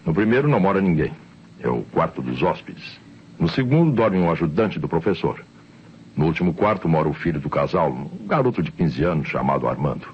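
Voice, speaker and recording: A man's voice: very deep voice, very animated, fairly quick, breathy edge, full character voice. An actor playing a methodical policeman giving a briefing in an old radio drama. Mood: methodical, explanatory. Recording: dull, slightly noisy old radio recording.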